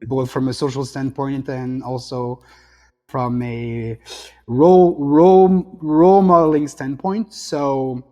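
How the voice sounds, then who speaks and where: A man's voice: medium pitch, steady tone, slow pace, a little mellow, long reverb tail, lo-fi sound. French man reflecting on a video call. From south of France. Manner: reflective, gesturing hands while talking. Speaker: guest. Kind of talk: interview conversation. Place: home room.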